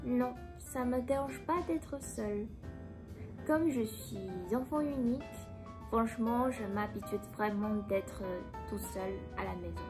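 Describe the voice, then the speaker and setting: Young woman, French, soft and hesitant, higher voice, music playing underneath. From Taiwan. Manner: soft-spoken, hesitant, pauses to find words. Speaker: vlogger. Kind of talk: casual chat answering a quiz.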